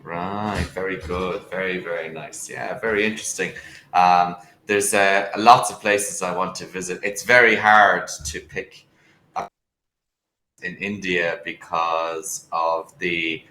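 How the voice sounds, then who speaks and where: A man's voice: sits low, fairly even intonation, slow, pushed and bright, roomy sound, poor audio. An Irish man tutoring English over a video call, talking casually and hesitantly with lots of fillers and restarts. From Ireland.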